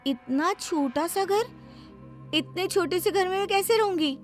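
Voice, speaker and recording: A woman's voice: extremely high pitch, measured pace, faint music behind, pitched above the performer's own voice. A woman voicing a haughty, spoiled young bride who whines and scorns in a cartoon storybook tale. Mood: indignant whining. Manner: whining, scornful complaining. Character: haughty, sharp-tongued young new bride, spoiled and lazy. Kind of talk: Hindi cartoon storybook acting.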